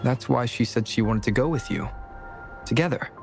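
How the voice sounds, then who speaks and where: An actor playing a calm, capable young adventurer companion in a video game. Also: male voice, mid-range voice, moderate pace, gravelly, over music, close to an everyday voice. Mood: matter-of-fact. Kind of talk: video game character acting.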